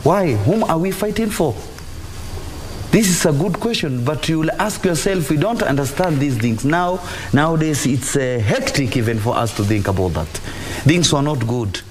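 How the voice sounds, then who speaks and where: A man's voice: fairly high pitch, expressive, moderate pace, reverberant, a little hiss or hum. A man with a Kenyan accent, a religious leader giving impassioned, repetitive, exhorting answers in a TV interview. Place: TV studio. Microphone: lapel mic.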